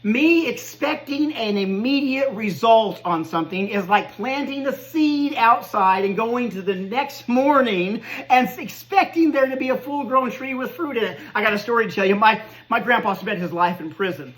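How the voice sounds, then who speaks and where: A man's voice: extremely high pitch, expressive, normal speed, a bit bright, poor audio. Earnest man in his fifties giving life advice. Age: 50s. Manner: earnest, conversational, laughs at himself. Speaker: podcast host. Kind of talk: motivational monologue.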